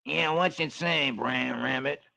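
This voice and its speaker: A man's voice: mid-range voice, measured pace, vocal fry, bright, forceful tone, exaggerated voice. A man voicing a dim, scheming male buzzard who drawls and stumbles over "uh". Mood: drawling. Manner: hesitant drawl full of "uh". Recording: dull old radio recording with faint hiss.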